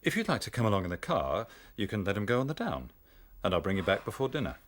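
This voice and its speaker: A kindly English country doctor, unhurried and avuncular in a naturalistic radio play. His voice sits low and rises and falls freely, with faint ambience behind it. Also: big pitch swings, a little hiss or hum. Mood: kindly, matter-of-fact. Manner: hesitant, gentle, avuncular. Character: kindly educated country doctor, adult man, unhurried, gentle. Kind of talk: naturalistic radio drama acting.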